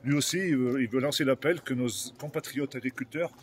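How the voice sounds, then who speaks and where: Man, mid-pitched voice, colloquial, earnest activist farmer, informal live interview. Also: a little fast, a little hiss or hum. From France.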